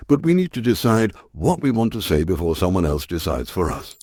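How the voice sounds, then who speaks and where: A man playing an earnest, resolute science professor in a sci-fi audio drama, with a husky voice and deliberate delivery. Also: sits low, very animated, a little mellow, dry recording, studio quality. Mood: intent, determined.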